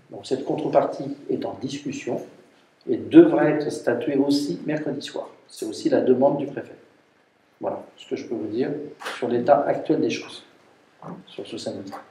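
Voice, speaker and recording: A man gives an explanatory council briefing in a mellow, middle-pitched voice, repeating points for emphasis. He sounds some distance from the mic in a roomy space, with faint noise and clicks. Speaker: mayor chairing a municipal council meeting. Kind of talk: formal council briefing, spoken off the cuff. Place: council meeting room.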